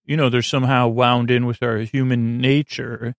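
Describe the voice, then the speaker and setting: Creaky, meandering male sleep-podcast host. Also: mid-range voice, flat delivery, moderate pace, dry recording, clean studio sound. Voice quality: creaky, soothing drawl. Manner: meandering, self-interrupting, wry. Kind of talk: rambling late-night monologue.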